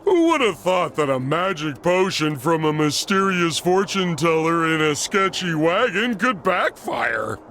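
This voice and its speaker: A man's voice: fairly high pitch, very animated, a little slow, hardly any pauses, fairly bright tone, slight background noise. A man voicing a dim-witted, good-natured male starfish in a cartoon game, with a thick, goofy, drawling voice. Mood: dopey, excited. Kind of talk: cartoon game cutscene acting. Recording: music under the voice.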